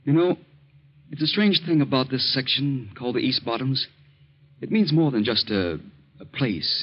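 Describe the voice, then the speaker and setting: A man's voice: mid-range voice, close to an everyday voice. A man playing a bitter, resigned young doctor and jilted suitor in a 1940s radio drama, brooding and insistent. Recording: dull lo-fi old radio recording.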